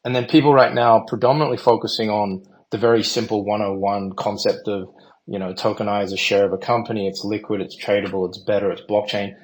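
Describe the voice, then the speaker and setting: Male panelist, confident, fluent explainer, low pitch. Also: level tone, a little fast. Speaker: panel guest, company founder. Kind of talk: online audio panel discussion.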